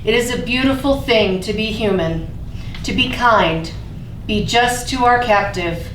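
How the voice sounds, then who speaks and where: Lady host at a stage reading, kinda high voice, far from the mic, bit of noise. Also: a little slow, a bit bright. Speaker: host introducing and reading a poem. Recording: echoey room, distant mic, faint noise.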